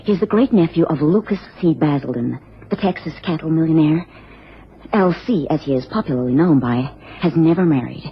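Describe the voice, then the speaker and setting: A woman's voice: deep voice, expressive, fairly quick. A woman playing an anxious, genteel American wife in a radio drama, speaking formally and earnestly with careful phrasing. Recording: dull, noisy old radio recording.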